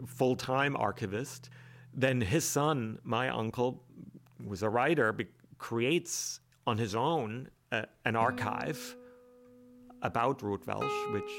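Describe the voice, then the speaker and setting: Hesitant gravelly-voiced man with roots. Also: mid-range voice. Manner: hesitant, with many restarts and fillers. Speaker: author and guest. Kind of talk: reflective interview talk.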